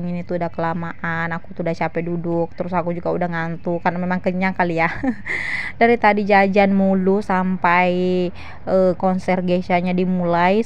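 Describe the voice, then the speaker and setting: A chatty, giggly woman from South Sulawesi, Indonesia, doing a casual vlog voice-over to her viewers, with a slightly shrill edge. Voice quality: slightly shrill, by own account. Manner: chatty, giggly, frequent tag words. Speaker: vlogger. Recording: dull lo-fi voice-over with faint noise.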